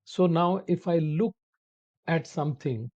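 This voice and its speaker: A man teaching a spoken-English lesson to viewers, talking slowly and deliberately and calling them his dear friends. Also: a little high, mellow. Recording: dull lo-fi audio.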